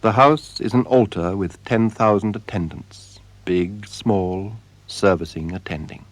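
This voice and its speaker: A man narrating a radio drama in a solemn, measured way, with deliberate dramatic pauses. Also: lowish voice, no echo. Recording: radio drama with music behind. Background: music.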